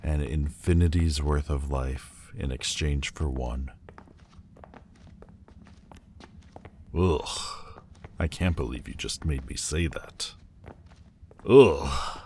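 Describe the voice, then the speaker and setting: Fallen demon lord for audio roleplay, male actor: extremely low, slow, haughty and theatrical, wounded pride, hesitant pauses, some background ambience. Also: a little mellow, a little hiss or hum. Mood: bitter, exasperated. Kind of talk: dramatic ASMR roleplay monologue.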